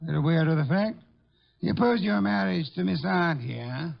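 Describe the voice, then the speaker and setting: An actor playing an imperious, pompous, gravelly private detective in 1940s radio drama style, formal and unsentimental. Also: male voice, on the high side, animated, moderate pace. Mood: stern, accusing. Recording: dull vintage radio recording.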